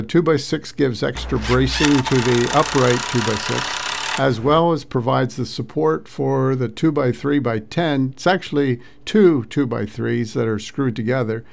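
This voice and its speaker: A man narrating an informal hobby video recap with hesitant, halting phrasing. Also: fairly high pitch, gravelly, slight background noise.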